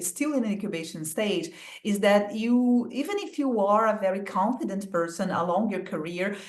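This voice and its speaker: A woman hosting an interview, sharing thoughts reflectively and asking questions with hesitant restarts. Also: medium pitch. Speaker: interview host. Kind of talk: conversational interview. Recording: echoey room.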